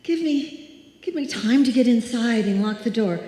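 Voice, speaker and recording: A woman's voice: a little high, animated, measured pace, warm tone. A woman acting a frightened woman alone at night, talking to herself in a live old-time radio suspense play. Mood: desperate pleading. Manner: breathless pleading and self-soothing, theatrical. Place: live stage performance in a hall. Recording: echoey live hall, music behind.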